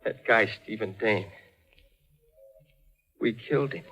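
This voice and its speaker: An American actor playing a frightened, swaggering small-time crook in a 1940s radio thriller, talking in jittery tough slang. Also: male voice, lowish voice, slow pace, pushed and bright, husky, highs cut off, heavily acted. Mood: resigned, afraid. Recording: vintage 1940s radio recording, music underneath.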